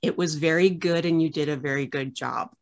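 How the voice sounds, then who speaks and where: Woman, 30s, low-pitched, casual chatty host on a webcam call, filler-heavy and self-mocking. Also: brisk. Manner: chatty, many filler uhs, self-deprecating jokes. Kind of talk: casual video-call interview. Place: home room with framed art on the wall.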